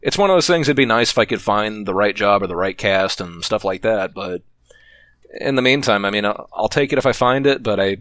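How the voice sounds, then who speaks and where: A man's voice: lowish voice, expressive, brisk, fairly bright tone, no room sound. A man rambling through anecdotes as a podcast guest, chatty and laughing often. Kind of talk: casual podcast chat.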